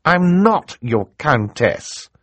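A man's voice: on the high side, sing-song, moderate pace, no room sound, voice pushed up. A man playing a clever, determined teenage girl, polite but assertive and precise, in an audiobook acting style. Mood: testy.

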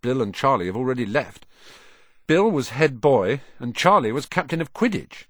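A man's voice: mid-range voice, sing-song, normal speed, no echo, clean studio sound. A man voicing an eager, self-deprecating young boy wizard from a big family, grumbling and exclaiming as audiobook dialogue. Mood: gloomy, grumbling.

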